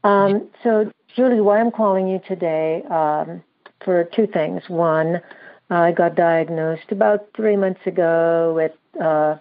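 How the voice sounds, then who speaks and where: A woman calling in to a show, speaking hesitantly with a slightly raspy voice and many fillers. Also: on the low side, fairly even intonation, slow pace. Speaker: caller. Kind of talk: call-in conversation. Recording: muffled lo-fi audio.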